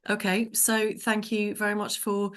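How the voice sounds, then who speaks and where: A woman's voice: medium pitch, monotone, unhurried. A woman moderating an online session, politely introducing the speakers and a sponsor. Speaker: event moderator.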